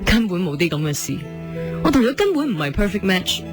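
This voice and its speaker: An unhappy young wife in a sci-fi romance radio play, acted by a woman. She speaks Cantonese with a Hong Kong accent, emotional and yearning, with animated pitch movement. Music plays clearly behind her. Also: brisk, lightly acted. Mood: unhappy, plaintive. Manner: emotional, plaintive. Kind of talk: radio drama acting with narrated inner monologue.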